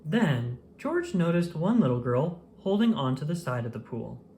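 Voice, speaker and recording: A woman reading a children's picture book aloud in an expressive storytelling manner. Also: low-pitched, moderate pace, slight background noise. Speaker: storybook reader. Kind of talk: children's read-aloud narration.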